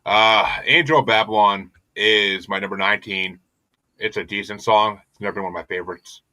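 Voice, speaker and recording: A male podcast host with a bright, forceful voice recorded dry and close. He talks casually and emphatically, swearing often and circling back over his own phrases. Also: fairly low pitch, fairly even intonation, a little fast, no echo, rough recording. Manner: profane, emphatic, repetitive. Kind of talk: casual opinionated music podcast chat.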